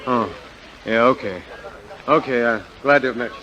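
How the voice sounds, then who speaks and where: An actor playing an eager, nervous, broke airplane designer, pushy and pleading, in a 1940s radio drama. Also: male voice, mid-range voice, normal speed, sharp tone, dull audio, natural voice. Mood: pleading, deflated. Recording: hissy old 1940s radio broadcast.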